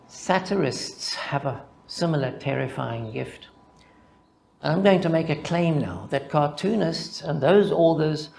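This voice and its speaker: An older man with a Zimbabwean accent, a mathematician reading a prepared conference paper in a deliberate, reflective way, building rhythmic lists. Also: a little high, vocal fry, a little hiss or hum.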